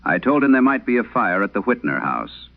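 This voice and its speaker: A man narrating a scripted vintage radio horror tale in the first person, in a grave, measured, gravelly voice. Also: on the low side, sing-song. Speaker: narrator of a horror audio drama. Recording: muffled, band-limited vintage radio sound.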